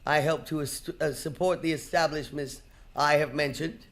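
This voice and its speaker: A woman's voice: low voice, steady tone, moderate pace. A woman playing a curt, scornful, miserly old male boss in a live radio drama. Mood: cold, scornful.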